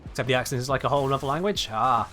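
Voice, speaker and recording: A man's voice: mid-range voice, very fast, bright, forceful tone, faint music behind. A British man chatting casually while he livestreams a video game, rambling and correcting himself as he goes. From United Kingdom. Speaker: livestream gamer. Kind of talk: casual chat while gaming.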